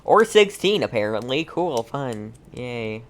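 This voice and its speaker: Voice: medium pitch, big pitch swings, takes it slowly, soft background music. A streamer giving casual, playful live reactions while playing a game, with giggly asides.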